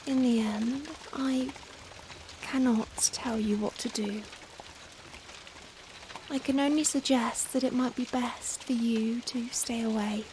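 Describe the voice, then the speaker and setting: A woman playing a young Victorian vampire in a softly spoken ASMR roleplay, anguished and tender, speaking formally and hesitantly with rain behind the voice. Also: fairly high pitch, slow, pauses often. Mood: resigned, gentle. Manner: hesitant, formal, emotional, pleading. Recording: steady rain noise behind. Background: rain falling on a surface.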